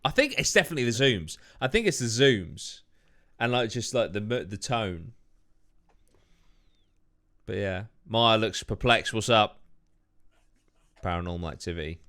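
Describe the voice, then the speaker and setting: A man's voice: lowish voice, lively intonation, unhurried, pauses often, a bit bright. A man casually chatting and reacting to his own paranormal video as host, rambling and swearing. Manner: laid-back, swears a lot, rambling. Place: home room.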